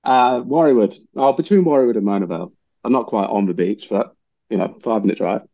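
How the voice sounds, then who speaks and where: Relaxed English man with an Aussie twang. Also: on the low side, fast talker, gravelly, no room sound, highs cut off. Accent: English with some Australian twang. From England. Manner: relaxed, rambling, many fillers, laughs easily. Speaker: chef, podcast guest. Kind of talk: casual interview chat.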